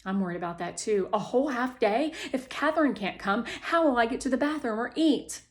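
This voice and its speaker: A woman's voice: a little high, expressive, brisk, pitched above the performer's own voice, natural voice. A woman playing a blunt, casual school pupil in a children's storybook, full of exclamation and protest. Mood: worried. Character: blunt, food-loving schoolboy.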